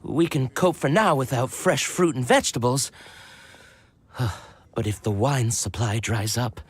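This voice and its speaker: A man's voice: medium pitch, measured pace, slightly rough. An actor voicing a world-weary, melodramatic townsman in a fantasy game, mournful and given to sighing.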